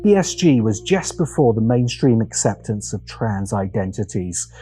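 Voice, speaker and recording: A man delivers scripted commentary in the manner of a video essay host. His pitch moves around a great deal as he sets phrases apart with deliberate, dramatic pauses. Music plays clearly behind him. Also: very animated, dark tone. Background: background music.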